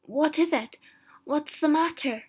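An old storybook husband, played by a woman reader, fearful and troubled. The voice is raised and high, with halting, emphatic pleas for reason. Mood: alarmed, puzzled.

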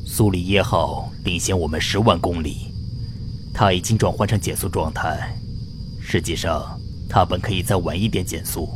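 A man playing a stern, cautious spaceship officer in a radio drama, reporting formally and in a measured way. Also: sits low, background noise, close to an everyday voice.

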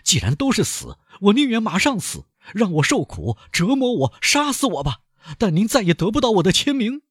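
A man voicing a frantic aging male banker held captive, husky and shrill, pleading and desperate in a storybook reading. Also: huge pitch swings, moderate pace, no room sound, studio quality, exaggerated voice. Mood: furious.

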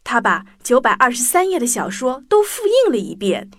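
A woman narrating a story for children in an expressive, animated storyteller's style. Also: a little high, normal speed. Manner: expressive, addresses young listeners. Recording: music bed behind the voice.

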